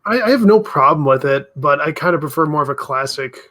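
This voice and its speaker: A man with a somewhat high-pitched voice takes part in a gaming podcast. His delivery is informal and conversational. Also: expressive, fairly quick, a bit bright. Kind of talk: casual chat.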